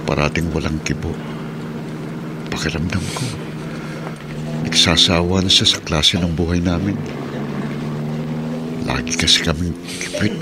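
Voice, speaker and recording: A weary, struggling Filipino father who drives a jeepney, in a radio drama. His voice is very low, set against clear vehicle noise. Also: steady tone, measured pace, long pauses. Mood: brooding, troubled.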